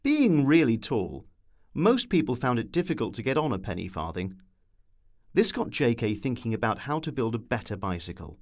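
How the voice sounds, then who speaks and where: A man's voice: mid-range voice, sing-song, normal speed. A man delivering scripted documentary narration as a storyteller with wry asides.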